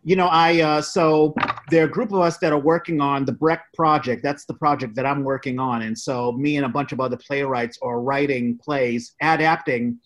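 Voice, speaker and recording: A man's voice: mid-range voice, fairly even intonation, moderate pace. An American man co-hosting a podcast in casual conversation. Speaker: podcast co-host, playwright.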